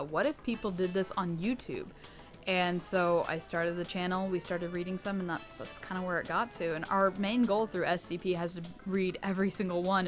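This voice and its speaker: A young American woman who hosts her channel, answering fan questions in a candid, chatty way with a low, rich voice. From United States. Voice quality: low rich voice, sometimes taken for a boy. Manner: chatty and candid, with laughs and restarts. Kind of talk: casual Q&A monologue.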